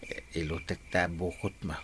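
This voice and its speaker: An actor playing an excitable village captain in a radio comedy-drama, with an emphatic, exclamatory delivery. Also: male voice, low voice, normal speed, a little hiss or hum, natural voice. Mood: coaxing, hushed. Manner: emphatic, exclamatory, swinging between shouts and murmurs.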